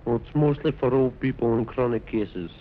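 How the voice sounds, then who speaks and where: A man's voice: medium pitch, moderate pace, narrow, tinny audio, slight background noise. A young man answering interview questions on a 1950s radio recording. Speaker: youth club member interviewed.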